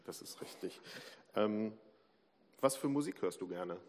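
A man's voice: fairly low pitch, lively intonation, a little slow, darkish tone. A man leading a church service, asking baptism candidates brief, friendly questions over a microphone in a church hall. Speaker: service moderator. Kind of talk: quick ice-breaker interviews in a church service. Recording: reverberant hall with clear background noise.

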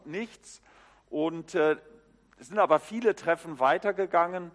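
A man speaks to a congregation in a friendly, halting way, often pausing with fillers. His voice sits a little high. A long church reverb trails each phrase. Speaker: church elder introducing a new member. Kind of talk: informal address to a congregation.

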